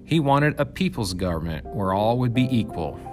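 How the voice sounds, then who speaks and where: A man reading a mythical story aloud as scripted narration, in a measured, dramatic storyteller's manner with emphatic phrasing. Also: on the low side, big pitch swings, music in the background. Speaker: reader, narrator.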